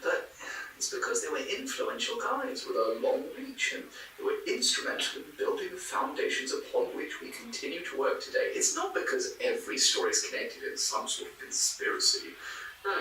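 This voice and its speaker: Skeptical male researcher, wry, stammering, lively intonation, echoey room, off-mic, interview-style audio drama. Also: fairly high pitch, distant mic, slight background noise, full character voice. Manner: rambling, wry, hesitant, stammering. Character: chatty, skeptical male folklore researcher musing on old records. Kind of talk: naturalistic interview in a podcast drama.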